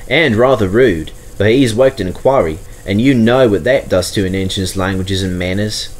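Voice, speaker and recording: A man's voice: on the low side, moderate pace, lightly acted. A man voicing a friendly vintage steam engine, chatty and earnest, in a storybook. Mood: cheerful, confiding.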